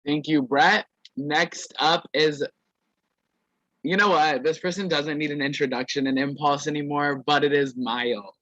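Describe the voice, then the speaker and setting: A man's voice: fairly high pitch, fairly even intonation, measured pace, sharp tone, no room sound, muffled recording. A man hosting an online game over a video call, introducing players one by one with a playful, sassy manner. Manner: playful, sassy catchphrase "period". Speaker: host of an online reality game.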